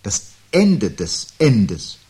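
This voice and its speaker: A man's voice: lowish voice, big pitch swings, very slow, mellow, slightly rough, exaggerated voice. An actor playing a weary, gloomy intellectual man in a radio play, speaking in a pensive, pedantic and declamatory way. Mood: ominous, lecturing. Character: gloomy, weary intellectual man who dreads birds and doom. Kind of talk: 1970s German radio play dialogue. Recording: hissy 1970s radio recording.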